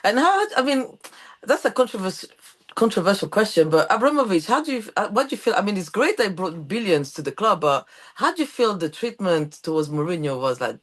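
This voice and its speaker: A woman from Portugal with a Portuguese accent, hosting a podcast interview and telling stories in a chatty, animated way. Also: medium pitch, fairly quick. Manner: animated, storytelling with quoted voices. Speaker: podcast host. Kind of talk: casual interview chat.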